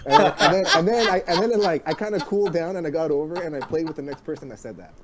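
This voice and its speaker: A man's voice: fairly high pitch, sing-song, over a noisy crowd. A man co-hosting a streamer talk show, chatting casually, leaning heavily on "like" and swearing. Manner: fast, heavy use of "like", swearing. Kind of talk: casual chat.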